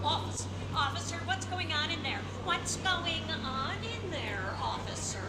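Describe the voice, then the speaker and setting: A woman's voice: very high-pitched, animated, measured pace, big-room sound. A woman playing a fussy, insistent bystander in an old-time radio play, pleading in a pushy, melodramatic way. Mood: insistent, agitated. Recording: very noisy live meeting room recording.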